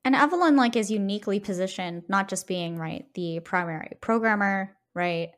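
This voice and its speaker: A woman co-hosts a casual, analytical podcast. Her voice sits at a middle pitch. She talks in a discursive, winding way and keeps checking in with a tagged-on "right?". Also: moderate pace. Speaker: podcast host.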